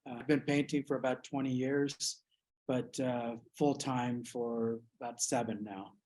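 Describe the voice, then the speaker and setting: A man from the United States, an artist reflecting on his work in an interview, speaking thoughtfully with many pauses and restarts. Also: medium pitch, level tone, darkish tone, rough recording.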